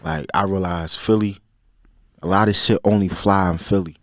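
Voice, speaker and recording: A man in his twenties with a Philadelphia accent, a podcast guest talking in a relaxed, slang-heavy, rambling way. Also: low-pitched, moderate pace. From Philadelphia, USA. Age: 20s. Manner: laid-back, slang-heavy, rambling with many fillers. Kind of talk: casual interview chat.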